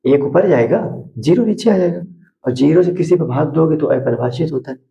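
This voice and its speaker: A hoarse man teaching math to school students at a board, repeating steps and asking questions that he answers himself. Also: on the high side, lively intonation, fairly quick, dark tone, low-quality recording. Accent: Hindi.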